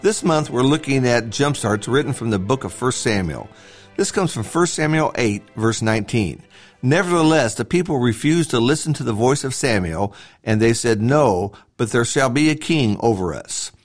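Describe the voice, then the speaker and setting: A man's voice: medium pitch, lively intonation, normal speed, soft background music. A middle-aged man reading a devotional talk in an earnest, emphatic, preacherly way. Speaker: preacher hosting a devotional podcast. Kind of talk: scripted devotional talk, read aloud.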